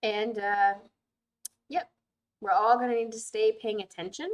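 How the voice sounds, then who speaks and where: A woman's voice: on the high side, steady tone, measured pace. A woman host giving earnest, emphatic casual commentary while playing a video game, muttering asides to herself as she plays.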